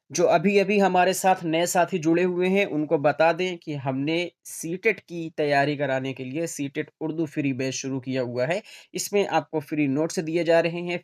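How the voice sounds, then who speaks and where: A man's voice: a little high, darkish tone. A man teaching an online class in an informal lecture style, restating each point for his students.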